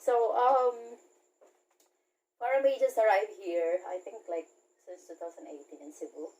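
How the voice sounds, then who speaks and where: A woman's voice: mid-range voice, fairly even intonation, measured pace, a little mellow, poor audio. A woman of thirty from the Philippines with a Filipino accent, chatting expressively as she hosts a casual video interview. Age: 30s. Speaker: talk show host, interviewer.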